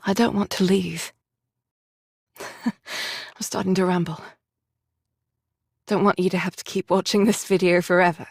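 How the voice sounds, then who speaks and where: Game heroine, young soldier, gentle, sorrowful, earnest, measured pace, dry studio sound. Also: female voice, lowish voice, level tone, breathy edge, dry recording. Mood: wistful, tearful. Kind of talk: dramatic game voice acting.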